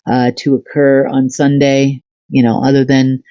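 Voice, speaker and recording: Woman, rambling host, dark mellow voice, dry muffled sound. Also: rumbling low, level tone, measured pace, no room sound. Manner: rambling, many fillers and asides. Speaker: channel host giving a daily update. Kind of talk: casual spoken update.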